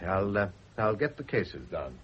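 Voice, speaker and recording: An actor playing a courteous gentleman husband, slightly hesitant, in a radio drama. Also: on the low side, sing-song, a little slow. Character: courteous, brisk gentleman husband, newly remarried. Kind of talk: 1970s radio drama acting. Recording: dull, noisy old radio recording.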